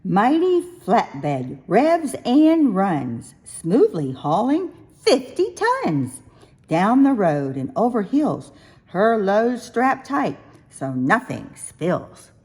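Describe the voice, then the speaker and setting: A woman reading a rhyming picture book aloud for young children, with expressive, sing-song, deliberate phrasing. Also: mid-range voice, slightly rough. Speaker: children's storybook reader.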